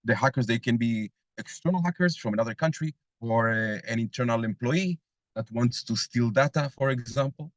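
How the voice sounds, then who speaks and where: A man giving a webinar presentation as lead instructor over a video call, pausing often with filler sounds. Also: mid-range voice, no room sound, highs cut off. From Argentina. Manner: hesitant, many filler sounds.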